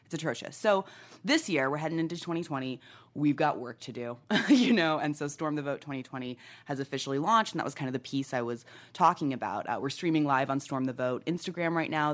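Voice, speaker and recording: A woman co-hosting a live-streamed casual chat, animated and often laughing, with many fillers. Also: low-pitched. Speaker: co-host. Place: livestream.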